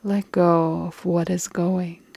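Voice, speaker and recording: A woman guiding a relaxation meditation in a calm, soothing, deliberate way with long pauses, her soft phrases trailing into breath. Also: lowish voice, creaky, dry recording. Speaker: yoga nidra meditation guide.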